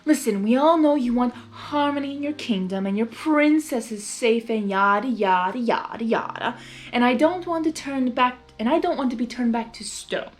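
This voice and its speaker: A woman's voice: fairly high pitch, normal speed, soft background music, lightly acted. Woman acting a sarcastic, smug male chaos villain. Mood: smug negotiating. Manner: sarcastic, drawling, theatrical. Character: sly, mocking male chaos creature villain, theatrically smug. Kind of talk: fan fiction character reading.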